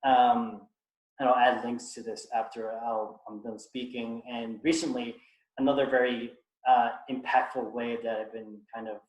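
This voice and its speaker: A man's voice: mid-range voice, little pitch movement. Earnest man on an echoey call. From United States. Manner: earnest, halting, many restarts. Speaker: guest speaker. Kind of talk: reflective personal talk on a video call.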